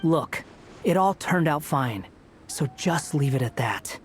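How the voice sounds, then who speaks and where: A man voicing a laid-back, wry male helper with an easygoing, unhurried manner in a video game. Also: a little high, animated, a little hiss or hum. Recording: game audio with faint music behind.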